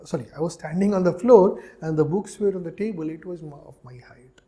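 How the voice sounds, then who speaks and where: A man's voice: on the high side, brisk, warm tone. A man with an Indian accent giving an explanatory lecture as an exam coach, emphatically repeating key phrases and checking in often.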